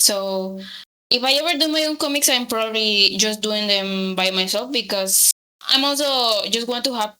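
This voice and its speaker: A young woman with a Spanish accent, a native Spanish speaker from Latin America, chatting hesitantly as a guest artist in an interview, with many pauses and fillers. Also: on the high side, sharp tone, low-quality recording. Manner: hesitant, many ums, laughs lightly. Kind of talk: casual interview chat.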